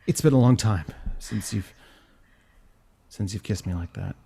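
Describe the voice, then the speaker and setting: A man playing a seductive, wounded consort of a starship captain in an audio drama, with a halting, sultry, theatrical delivery. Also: fairly low pitch, creaky, dark tone, a little hiss or hum. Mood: tender, pleading. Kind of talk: gender-swapped science fiction audio drama acting.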